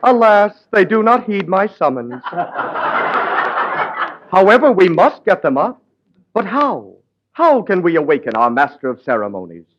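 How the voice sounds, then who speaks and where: A man's voice: high-pitched, big pitch swings, unhurried, pauses often. Man narrating in mock-grand storybook tones. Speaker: announcer. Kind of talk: 1940s radio comedy acting. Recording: dull 1940s radio broadcast with music.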